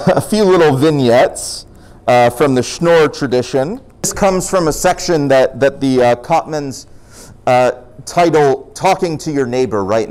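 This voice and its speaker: Man, slightly high voice, very lively intonation, storytelling talk, explanatory delivery. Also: low-quality recording. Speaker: speaker presenting folk tales. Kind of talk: storytelling talk with acted dialogue.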